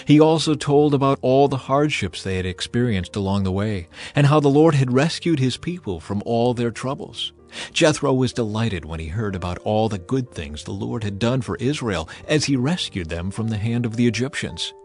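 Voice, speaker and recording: A man narrating scripture from a script in a measured, solemn, dramatized style. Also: medium pitch, expressive, a little mellow. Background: music.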